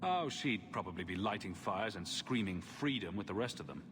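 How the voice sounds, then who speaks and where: An actor playing a confident, wry champion who leads companions in a fantasy video game. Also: male voice, on the low side, expressive, moderate pace, big-room sound, noisy background, lightly acted. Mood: wry, resolute. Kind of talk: video game cutscene acting.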